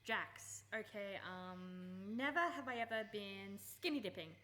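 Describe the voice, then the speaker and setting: A woman's voice: medium pitch, measured pace, sharp tone. An actress playing a wry, cautious young woman in a radio play recorded live. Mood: wry, guarded. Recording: live recording with clear background noise.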